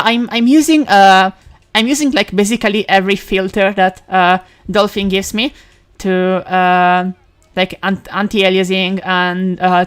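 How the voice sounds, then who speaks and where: Woman chatting on a game stream. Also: mid-range voice. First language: Italian. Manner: rambling, with many fillers, restarts and quick laughs. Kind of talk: casual live-stream chat while gaming.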